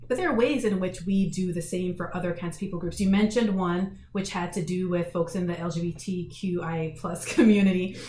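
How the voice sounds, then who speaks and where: A woman's voice: on the low side, fairly quick, hardly any pauses, warm tone. A woman hosting a radio discussion, speaking casually and reflectively as she thinks her points through. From immigrant to the United States. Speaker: radio show host. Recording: roomy echo, faint background noise.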